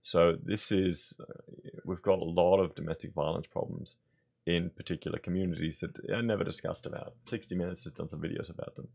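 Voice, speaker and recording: An Australian man with a hoarse voice, giving blunt, conversational opinion commentary in his vlog. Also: deep voice, fairly even intonation, brisk, darkish tone. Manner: blunt, conversational, thinking aloud. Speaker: vlogger.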